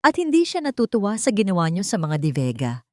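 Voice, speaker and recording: A woman's voice: medium pitch, wildly swooping pitch, fairly quick, dark tone, dry recording, studio quality, pitched above the performer's own voice. A woman voicing a nervous, deferential male aide giving warnings in an audiobook drama. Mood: anxious. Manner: anxious, respectful.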